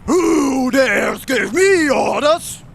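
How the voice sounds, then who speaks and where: A man's voice: very high-pitched, lively intonation, very slow, vocal fry, fairly bright tone, raised voice, a little hiss or hum, exaggerated voice. A man playing a furious, imperious winged king with a blustering, menacing delivery, in 1930s radio serial style. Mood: outraged.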